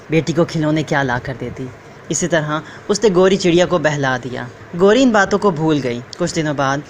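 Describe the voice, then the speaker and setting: A woman's voice: deep voice, a little hiss or hum. A woman narrating a scripted children's story.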